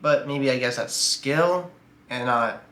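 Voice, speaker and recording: A man's voice: medium pitch, takes it slowly, fairly bright tone. A man hosting a reaction video, chatting loosely and joking, with casual swearing. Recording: roomy echo, faint noise.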